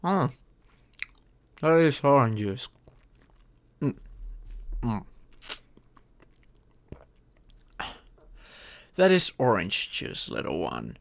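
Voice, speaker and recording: A man's voice: medium pitch, wildly swooping pitch, slow pace, gravelly, pauses often, exaggerated voice. A man voicing an ancient male dragon, a former king and doting but stern father, speaking formally and patiently in an ASMR roleplay. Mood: patient, amused. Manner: formal, patient, fond, instructive. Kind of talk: ASMR roleplay, scripted monologue.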